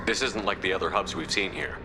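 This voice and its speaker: An actor playing a steady, pragmatic military commander in a science fiction video game, direct and level-headed. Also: male voice, low voice, quick pace, loud music behind the voice, natural voice. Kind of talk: video game character acting.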